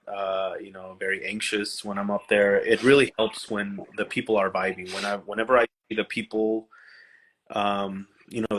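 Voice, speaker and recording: A man with a fairly low voice talks over a livestream video call. He speaks shyly and haltingly, stumbling and restarting his sentences as he goes. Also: fairly bright tone, poor audio. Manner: shy, halting, many restarts. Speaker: musician, livestream guest. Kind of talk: casual livestream chat.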